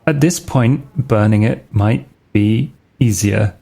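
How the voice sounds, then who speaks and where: A man's voice: lowish voice, takes it slowly, gravelly, warm tone, breathy edge. A man playing in a tabletop roleplaying game, speaking casually in and out of character as he thinks aloud. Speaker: player in a tabletop RPG.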